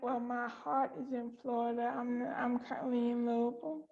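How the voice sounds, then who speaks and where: A woman's voice: fairly high pitch, flat delivery, normal speed, a little mellow. A woman hosting a table read in casual chat over a video call. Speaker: organizer and host of table read. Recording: dull lo-fi video call audio.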